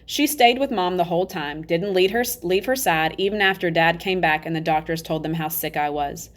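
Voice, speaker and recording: A woman reading a children's novel aloud in an animated, emphatic storybook style, acting out the dialogue. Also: fairly low pitch, moderate pace. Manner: expressive, emphatic, acts out dialogue.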